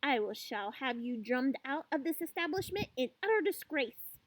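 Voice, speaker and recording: A woman's voice: sits high, big pitch swings, measured pace, background noise. A woman voicing a furious, tyrannical headmistress who loathes children, haranguing and menacing, in a storybook reading. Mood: furious tirade. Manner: haranguing, barking, grandly menacing.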